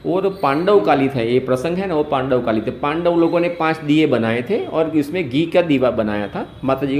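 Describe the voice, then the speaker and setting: Casting: man, Gujarati accent, slightly high voice, patient step-by-step explainer on a roomy call recording. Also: a little mellow, slight background noise. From Gujarat, India. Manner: explains step by step with everyday examples. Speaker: host, activist caller. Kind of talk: explanatory phone conversation.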